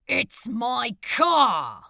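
A woman's voice: fairly high pitch, huge pitch swings, drawn-out words, gravelly, pushed and bright, dry recording, clean studio sound, exaggerated voice. A woman playing an irritable, snarling male demon in a fan audio drama, with exasperated, dramatic delivery. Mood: exasperated, furious. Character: irritable, snarling male demon, defensive about his car.

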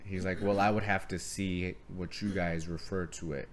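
A man's voice: low voice, fairly even intonation, normal speed, a little hiss or hum. A middle-aged man, a martial arts coach and gym owner, telling a story in casual chat. He has a strong voice that carries and acts out the arguments he quotes.

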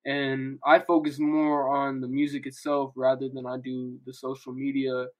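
Man, casual chat, slangy, mid pitch, fairly flat intonation. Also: moderate pace. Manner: slangy, frequent "bro" and "like". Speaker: podcast panelist, musician.

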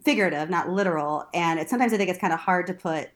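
A woman's voice: lowish voice, rapid-fire. A woman from Texas chatting candidly as an interview guest, with a playful, blunt, self-deprecating manner and casual swearing. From Texas, United States. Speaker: interview guest, burlesque performer and instructor.

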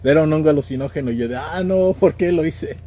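A man's voice: on the high side, fast, warm tone, a little hiss or hum. A young man with a central Mexican accent, telling stories in a casual, slangy way as a podcast guest. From State of Mexico, Mexico. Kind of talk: casual conversational storytelling.

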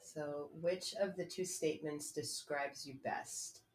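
A woman's voice: deep voice, fairly even intonation, slow pace. A woman in her early thirties co-hosting a podcast, chatting casually and personally. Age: early 30s. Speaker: podcast co-host. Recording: echoey room, faint background noise.

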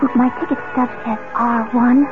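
A high, sweet female voice on tinny vintage radio, with loud music behind it. An actress plays a lovelorn young woman's ghost, gently coaxing and insistent in old radio drama style. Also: fairly even intonation, moderate pace, close to an everyday voice. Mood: sweet, wistful. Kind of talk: 1970s radio drama acting.

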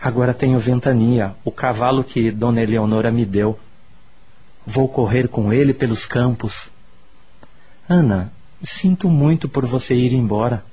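Husky, wistful Brazilian boy. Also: medium pitch, measured pace, warm tone. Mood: wistful, affectionate. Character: affectionate, wistful young boy. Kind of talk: Brazilian radio soap opera acting.

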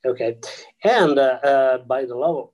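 Man, Italian accent, non-native English, interview guest, very slow, hesitant, many fillers and restarts. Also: mid-range voice, lo-fi sound. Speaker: guest scholar. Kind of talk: explaining physics and theology in an interview.

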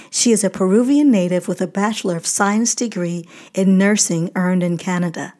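A woman speaks as a podcast host, moving between introduction and interview questions. Her voice sits at an average pitch for a woman, with a conversational interviewing style. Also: dark tone.

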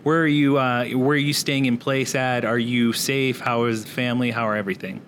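A man's voice: medium pitch, steady tone, a little fast, fairly bright tone, a little hiss or hum. Upbeat male podcast host. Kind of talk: casual interview chat.

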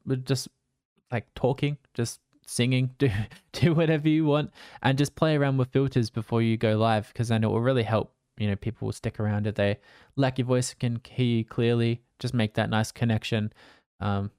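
Man, streamer giving tips, relaxed and rambling, clear resonant voice, dry close condenser mic. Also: mid-range voice, no echo. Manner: relaxed, rambling, with fillers and restarts. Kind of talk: casual advice talk to camera. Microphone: condenser desk mic with pop filter.

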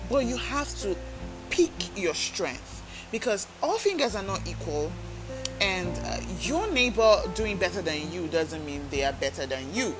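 Woman, self-help podcast host, earnest coaching delivery, clear background music bed. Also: lowish voice, poor audio. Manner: earnest, coaching, asks listeners direct questions. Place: talking alone into a microphone.

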